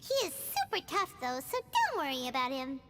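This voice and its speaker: A woman voicing a tiny, chirpy, excitable fairy girl companion with a squeaky childlike voice in a video game. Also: moderate pace. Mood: cheeky, scolding. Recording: game audio over loud music, reverberant.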